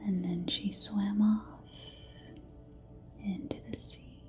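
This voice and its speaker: A woman telling stories as an ASMR host in a gentle, hushed whisper with long pauses. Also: medium pitch, level tone, soft background music. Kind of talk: ASMR whispered bedtime storytelling.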